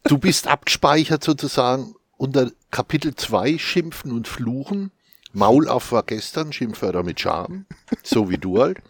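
A man with a Franconian accent, co-author of a quiz book, chatting casually and reading quiz questions with lively emphasis. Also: mid-range voice, moderate pace, no echo, studio quality. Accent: Franconian German. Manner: animated, laughs, whispers asides. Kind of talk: casual chat.

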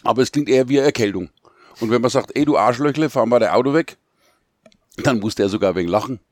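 A man's voice: medium pitch, quick pace, dry recording, clean studio sound. A man, co-author of a quiz book, explaining things in a wry, casual way. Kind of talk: casual chat.

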